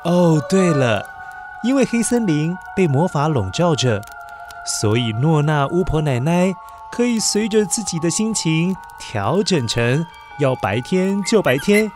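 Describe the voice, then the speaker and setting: A man narrating a children's story in a gentle, coaxing storyteller manner, addressing the young listener. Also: on the high side. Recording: background music under narration.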